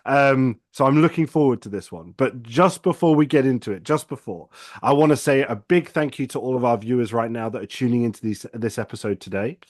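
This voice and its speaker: A man's voice: medium pitch, fairly quick, no echo. Male interview show host, close dry sound. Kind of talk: scripted-feeling show introduction and interview.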